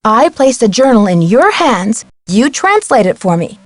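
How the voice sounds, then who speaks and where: Young girl detective, game dialogue, highish voice, polite and full of questions, dry close sound. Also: big pitch swings, normal speed, no room sound. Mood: curious, persuasive. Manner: courteous, inquisitive, clearly enunciated. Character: polite, inquisitive young female amateur detective. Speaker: video game voice actor.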